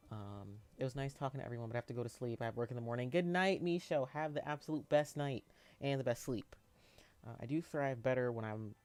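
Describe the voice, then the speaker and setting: A man in his twenties chatting casually on a live stream, answering viewers in a rambling, halting way with lots of fillers and restarts. He has a youthful, boyish-sounding voice. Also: mid-range voice, darkish tone, no echo. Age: 20s.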